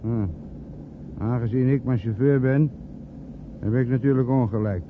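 An actor playing a resentful chauffeur in a radio play, outwardly servile, dry and sarcastic. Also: male voice, mid-range voice, measured pace, close to an everyday voice. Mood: sullen. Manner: dry, grumbling, mock-polite. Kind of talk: radio play acting in Dutch. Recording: noisy, muffled old radio recording.

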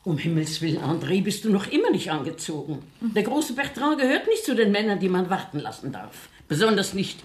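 A woman's voice: mid-range voice, very animated, fairly quick, few pauses, slight background noise, close to an everyday voice. An older woman acting a proud, bossy, worldly grandmother in a German radio play, with a haughty, theatrical, emphatic delivery. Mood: proud, bustling.

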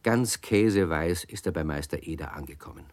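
Low-voiced male storyteller, dry studio sound. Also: fairly quick, no room sound. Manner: warm, explanatory, asks the listeners questions. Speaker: narrator. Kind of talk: storytelling narration for children.

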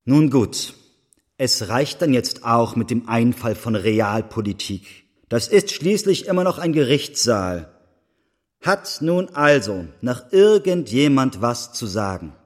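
A man's voice: mid-range voice, lively intonation, slow pace. An actor playing an imperious male judge, speaking in a pompous, declamatory manner in a radio play. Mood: impatient authority. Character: imperious, theatrical male judge presiding over a courtroom. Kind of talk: German radio play acting.

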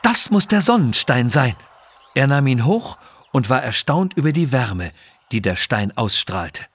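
A man's voice: a little high, a bit bright, breathy edge, dry recording, clean studio sound. A man narrating a scripted children's adventure story.

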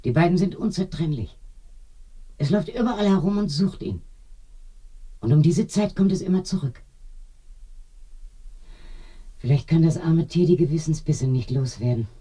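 An actress playing an eerie village widow in a radio drama, confiding and animated, with a dark husky voice that sinks to murmurs. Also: female voice, low voice, moderate pace. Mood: musing. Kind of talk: binaural radio drama acting.

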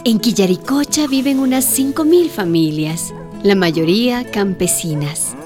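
A woman's voice: mid-range voice, animated, unhurried, over music. A woman reading scripted storytelling narration for a radio drama.

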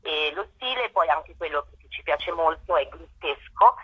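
A woman's voice: low-pitched, animated, measured pace, husky. Woman on a dull, band-limited phone line. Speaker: theatre actress and guest. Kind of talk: radio interview by phone.

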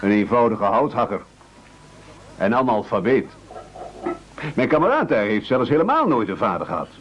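A scheming leader of starving castaways in a radio play. His husky voice swings widely in pitch as he speaks with mock courtesy and pompous, rhetorical flourish. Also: muffled recording.

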